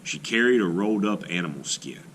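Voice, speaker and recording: A man reading a children's folk tale aloud like a teacher, with deliberate, expressive phrasing. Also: low-pitched, a little hiss or hum. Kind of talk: storybook read-aloud narration.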